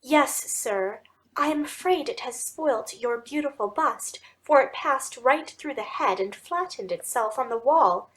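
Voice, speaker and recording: A woman's voice: sits high, lively intonation, unhurried, a bit bright, voice pushed up, low-quality recording. A woman voicing a dutiful, deferential older landlady in an audiobook reading. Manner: earnest, deferential.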